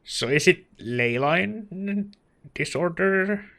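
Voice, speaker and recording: A man's voice: high voice, animated, slow, a bit bright. A man giving casual let's play commentary on a game, musing and reacting aloud in short remarks.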